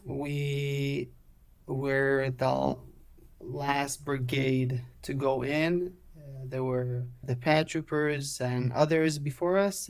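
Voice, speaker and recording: Israeli guy late twenties, gravelly voice, slow halting english, lots of uh. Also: mid-range voice. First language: Hebrew. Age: 20s. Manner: measured, halting, many "uh" pauses. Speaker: guest, former soldier. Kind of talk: interview storytelling.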